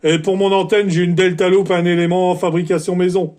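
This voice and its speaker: A man's voice: high-pitched, little pitch movement, brisk, darkish tone. A man speaks as a CB radio operator, courteous and orderly, in the set phrases of a radio contact. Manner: polite, orderly, formulaic sign-offs.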